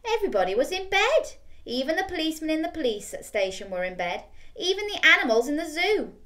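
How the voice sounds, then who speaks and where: A woman reads a bedtime story to little children. Her voice is on the high side and moves up and down a lot, expressive and direct, as if checking in with a young listener. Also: a little fast. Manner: expressive, asks the child questions. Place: small bedroom, indoors.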